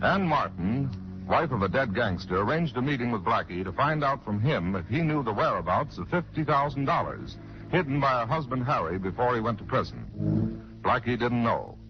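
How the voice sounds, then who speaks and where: A man announces and narrates a vintage radio mystery in a scripted 1940s style. His voice is mid-pitched, with music clearly under it on a muffled old recording. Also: lively intonation, normal speed.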